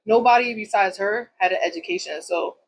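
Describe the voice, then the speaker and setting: A woman's voice: mid-range voice, fairly quick, a little hiss or hum, rough recording. A woman in her thirties from Puerto Rico, a salon owner telling her story in a loose, chatty interview style with plenty of fillers.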